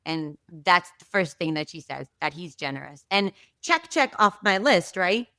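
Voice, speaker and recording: A woman from Israel tells a story as a podcast guest, animated and chatty, with plenty of asides. Her voice is smooth and clear, recorded close and dry. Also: fairly low pitch, moderate pace, dry recording. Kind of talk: casual storytelling.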